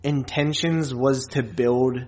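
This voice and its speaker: Man chatting casually, haltingly and slangy. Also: mid-range voice, steady tone, darkish tone. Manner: informal, slangy, halting, circles back over phrases. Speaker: podcast host. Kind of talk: casual film review chat.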